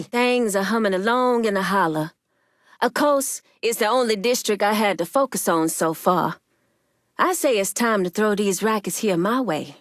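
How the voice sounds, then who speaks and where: An actress voicing a proud, commanding woman crime boss in a video game, with a firm, deliberate and authoritative delivery. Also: medium pitch, no echo, studio quality, natural voice.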